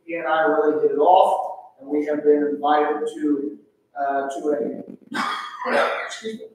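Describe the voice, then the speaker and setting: Halting man reading in an echoey sanctuary. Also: on the high side, level tone, recorded from a distance, a little hiss or hum. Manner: halting, many filler uhs and restarts. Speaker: pastor reading a missionary letter. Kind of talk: reading a letter aloud in church. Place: large church sanctuary. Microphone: pulpit mic, filmed from far back.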